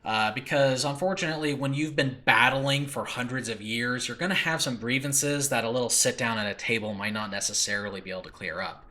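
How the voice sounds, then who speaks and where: Male, American, podcast host. Bright, punchy tone, mid pitch, casual rambling delivery with lots of conversational asides. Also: brisk, hardly any pauses. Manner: rambling, informal, frequent "you know" asides. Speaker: podcast host and audio drama creator. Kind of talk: casual solo podcast talk. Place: room in a new apartment, makeshift recording space.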